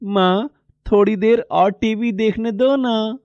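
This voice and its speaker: A woman's voice: on the high side, takes it slowly, darkish tone, no echo, highs cut off. Woman acting a pleading, wistful little schoolboy. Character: small poor schoolboy, wistful, stubbornly pleading. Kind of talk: moral story character acting.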